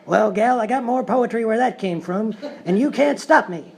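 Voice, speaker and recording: An actor playing a bumbling, lovelorn Wild West bandit with a folksy, hesitant drawl in a live radio-style comedy sketch. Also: male voice, sits high, moderate pace, creaky, darkish tone. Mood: blustering. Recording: noisy live stage recording.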